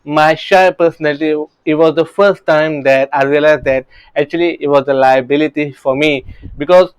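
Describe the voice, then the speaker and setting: A man's voice: fairly high pitch, fairly even intonation, normal speed, clear, resonant tone, no room sound, rough recording. A man in his thirties from Singapore, a real estate coach talking earnestly about his early career, often restarting his sentences. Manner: earnest, many restarts and "you know, right?" tags.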